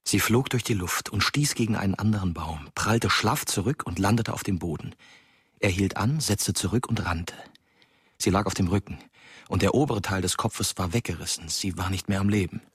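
A man playing a dead teenage boy who narrates his own fatal crash in a radio drama, measured and grave. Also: low-pitched, breathy edge, dry recording, clean studio sound, heavily acted. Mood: grim. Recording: loud music under the voice. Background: loud music, vehicle sounds.

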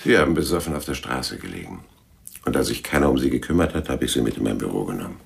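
Very deep, gravelly private detective. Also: male voice, animated, fast, heavily acted. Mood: dry, world-weary. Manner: dry, terse, sardonic. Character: gruff, dry, hard-boiled male private detective, reluctantly helpful. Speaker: actor in a radio play. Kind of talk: 1990s German radio crime drama acting.

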